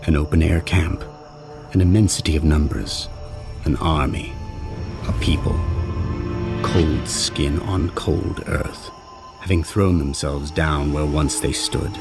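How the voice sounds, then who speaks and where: An extremely low, gravel-edged voice from a man acting as a solemn watchman in an audio drama. He measures out each phrase slowly and portentously, brooding over the night. Music runs clearly underneath. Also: heavily acted.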